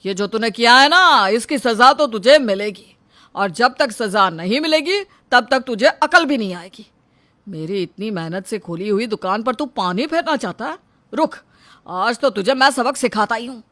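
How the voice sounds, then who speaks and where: A performer voicing a tyrannical middle-aged father who is domineering, threatening and quick to shout in a melodramatic story. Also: medium pitch, lively intonation. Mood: furious. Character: tyrannical, short-tempered middle-aged father and shop owner. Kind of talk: melodramatic audio story dialogue.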